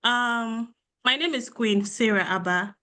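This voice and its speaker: A woman's voice: on the high side, measured pace, pushed and bright. A young woman from Nigeria, a graduate student, introducing herself on a webinar panel with many ums and restarts.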